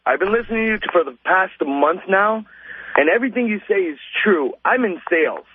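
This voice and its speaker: A man's voice: high-pitched, lively intonation, a little fast, slightly rough. A man calling a radio show, ranting casually in an emphatic, exasperated way. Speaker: radio show caller, salesman. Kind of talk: casual phone-in rant. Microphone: telephone. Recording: tinny phone line with faint noise.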